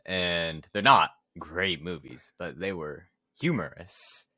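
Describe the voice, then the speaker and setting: Deep-voiced young man, bright and dry sound. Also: slow pace, dry recording. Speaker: podcast co-host. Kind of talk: casual chat about games and movies.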